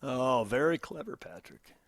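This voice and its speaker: A man's voice: medium pitch, sing-song, normal speed, gravelly, no echo, clean studio sound. An American man co-hosting a sports talk radio show, bantering and reading out comments in punchy, emphatic short phrases. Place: radio studio. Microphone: close studio microphone.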